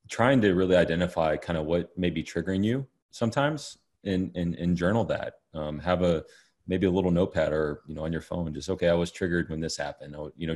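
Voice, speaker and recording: Very deep-voiced man, halting and casual. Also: darkish tone. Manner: hesitant, many "you know" fillers and restarts. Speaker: podcast guest. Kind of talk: casual conversation.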